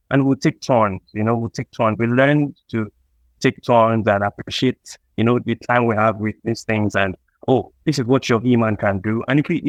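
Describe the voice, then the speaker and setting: A Nigerian man talks in a reflective, conversational way, like a guest on an interview show. His middle-pitched voice sits in a dry, close sound with very little room around it. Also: dry recording, poor audio. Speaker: comic book creator, interview guest.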